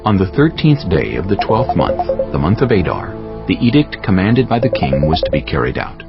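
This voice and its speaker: A man with a very low, expressive voice delivers solemn scripted scripture narration. His pitch moves widely. Music is clearly present under a slightly muffled recording. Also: normal speed. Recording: muffled audio with prominent music.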